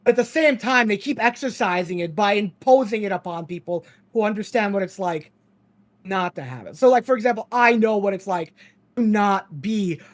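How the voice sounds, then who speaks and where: A man's voice: high voice, normal speed. Sardonic Ukrainian-raised man chatting. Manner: rambling, sardonic, laughs often. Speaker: podcast guest. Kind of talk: casual podcast chat.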